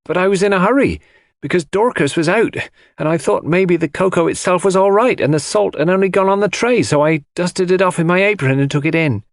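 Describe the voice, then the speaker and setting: Man voicing a flustered, high-pitched young housemaid. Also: brisk, no room sound, studio quality. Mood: flustered, defensive. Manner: deferential, eager, flustered. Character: young strapping housemaid, excited, flustered, deferential servant.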